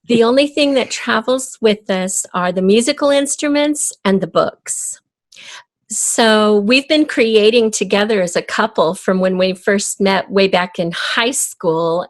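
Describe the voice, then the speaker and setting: A woman's voice: mid-range voice, measured pace. A middle-aged or older woman talking casually as a guest in an interview. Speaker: podcast guest, audio drama creator. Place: home, in front of bookshelves. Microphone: USB desktop microphone.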